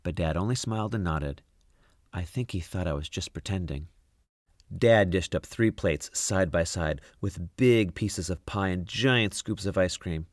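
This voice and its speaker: Man, children's storybook reader, lower-pitched voice, expressive narration, dry close sound. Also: unhurried, no echo.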